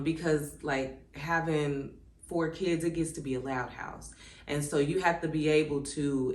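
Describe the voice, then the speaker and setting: Woman in her 30s chatting to camera, low voice, lots of ums and likes, roomy echo. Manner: conversational, reflective, many "um" and "like" asides. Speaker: channel host. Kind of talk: casual reflective vlog, reading from a workbook. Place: indoors at home, seated. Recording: echoey room.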